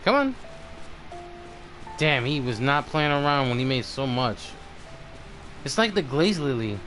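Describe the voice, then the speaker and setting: A man streaming a game, giving casual live commentary in a halting, muttering way as he thinks aloud. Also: mid-range voice, a bit bright. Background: game music.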